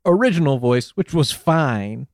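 A man co-hosting a comedy podcast over a video call, riffing in a rambling, deadpan way. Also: mid-range voice, measured pace, no echo, clean studio sound. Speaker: podcast co-host. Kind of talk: improvised comedy banter.

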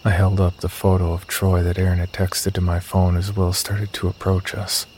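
A man's voice: deep voice, completely flat delivery, normal speed, a little mellow, a little hiss or hum, close to an everyday voice. A man playing a wry, sardonic young narrator who tells a horror story in the first person. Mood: tense.

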